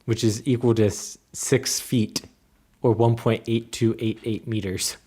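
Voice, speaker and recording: A man's voice: lowish voice, level tone, measured pace, dark tone. Man chatting as podcast co-host. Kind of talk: casual chat.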